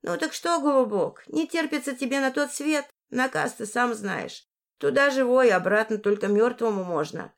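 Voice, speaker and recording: A woman narrator acts out a grumpy old crone from a storybook. The voice rides high and swings up and down as it grumbles in folksy village speech. It is bossy and snappy, like the keeper of a magic threshold. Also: expressive, moderate pace. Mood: stern, knowing. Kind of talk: audiobook fairy-tale character voice.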